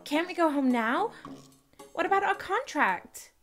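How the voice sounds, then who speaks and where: A woman's voice: very high voice, animated, a little slow, fairly bright tone, faint music behind, full character voice. A woman voicing a perky, naive young female mercenary in an amateur video game dub. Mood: puzzled, whiny.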